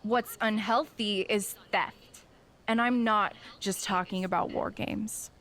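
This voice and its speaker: Smug, slow-talking American female wrestler. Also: medium pitch, steady tone, a bit bright, a little hiss or hum. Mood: smug, demanding. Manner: sarcastic, drawn-out mock sincerity. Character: smug scheming female wrestler, mock-innocent and taunting. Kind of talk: video game cutscene acting, wrestling promo.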